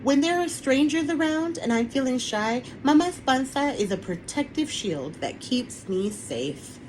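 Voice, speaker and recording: Lady author reading a kids picture book out loud over a webinar, noisy background. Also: sits high, animated, measured pace. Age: adult, parent of a young son. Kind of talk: children's picture book read-aloud. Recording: clearly noisy online webinar recording.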